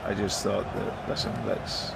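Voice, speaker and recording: A man's voice: unhurried, heavy background noise. A man from a football club reflecting in a documentary interview. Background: music.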